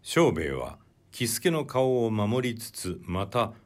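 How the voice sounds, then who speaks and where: A man reading a literary short story aloud as a narrator, in a measured storytelling delivery. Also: fairly low pitch, sing-song.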